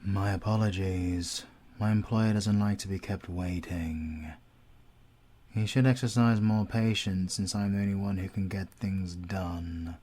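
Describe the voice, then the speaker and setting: A British man playing a menacing supernatural interrogator in an ASMR audio roleplay, coldly polite and deliberate, with a breathy, gravelly, often whispered voice. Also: deep voice, fairly even intonation, dark tone. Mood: cold menace. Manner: slow, deliberate, mockingly courteous. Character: menacing supernatural male villain, clawed soul-taking interrogator, coldly polite.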